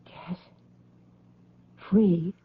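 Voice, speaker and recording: A woman's voice: drawn-out words, a little hiss or hum. An actress playing a frightened, guilt-ridden woman in a radio drama, hesitant and fragile as she speaks. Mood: pleading. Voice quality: breathy. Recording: tinny, narrow-band old radio broadcast.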